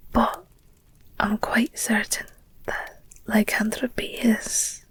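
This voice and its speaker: A woman playing an affectionate, candid werewolf girlfriend in an intimate ASMR audio roleplay, speaking tenderly and hesitantly in a breathy whisper. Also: on the high side, a bit bright, full character voice. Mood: thoughtful, gentle. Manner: slow, tender, hesitant, confiding. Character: affectionate werewolf girlfriend, gentle, candid, wistful about her past.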